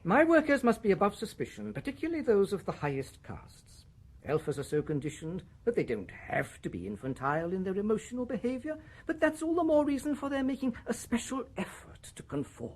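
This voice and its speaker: A husky, stern old-school director. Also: male voice, sits high, big pitch swings, fairly quick, full character voice. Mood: reproving. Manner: reproving, then drifting into a hushed memory. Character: stern, pompous male director recalling a loss. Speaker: Director, a superior official. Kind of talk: 1990s radio drama acting.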